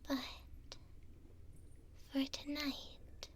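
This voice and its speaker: Woman as a whispering, very slow gentle elf. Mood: gentle, reassuring. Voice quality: breathy, whispering. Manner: slow, soothing, tender, with long pauses. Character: gentle, kind imprisoned female elf comforting a cellmate. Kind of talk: ASMR roleplay. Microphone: close binaural ASMR microphone.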